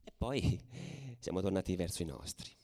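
A man's voice: low-pitched, moderate pace, vocal fry. A man playing a furious, worn-out young football ultra in a dramatic radio theatre monologue. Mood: grim. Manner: swinging from hushed telling to shouted frenzy. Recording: drum music behind the voice.

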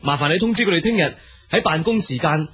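An actor playing a meek, polite, henpecked husband in a radio drama, speaking hesitant, deferential Hong Kong Cantonese. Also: male voice, fairly high pitch, expressive, fast, a bit bright, husky. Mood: polite, grateful.

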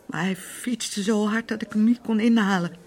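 An actress playing a fragile, needy wife in a radio play, childishly insistent and pleading, with a wandering way of talking. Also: female voice, mid-range voice, moderate pace, darkish tone, close to an everyday voice. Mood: agitated. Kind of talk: Dutch radio play acting.

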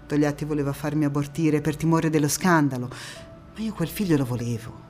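A woman acting a defiant, idealistic partisan turned politician in an emphatic, deliberate theatrical monologue. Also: deep voice, darkish tone, soft background music, natural voice. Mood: defiant, candid.

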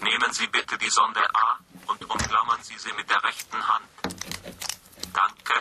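An actor playing an impassive, formal psychiatric testing machine in a science fiction radio play, speaking in clipped clinical phrases. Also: male voice, fairly low pitch, monotone, a little slow, noisy background, close to an everyday voice.

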